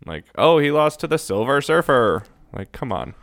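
A man's voice: medium pitch, big pitch swings, normal speed, creaky, fairly bright tone. A man co-hosting a podcast, explaining things informally and thinking aloud as he goes. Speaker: podcast co-host. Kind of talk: casual podcast chat and explanation.

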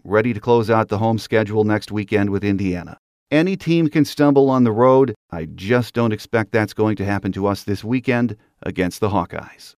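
A man's voice: on the low side, darkish tone, smooth, dry recording, clean studio sound. A middle-aged man hosting a sports podcast, delivering a partly scripted monologue. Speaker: podcast host.

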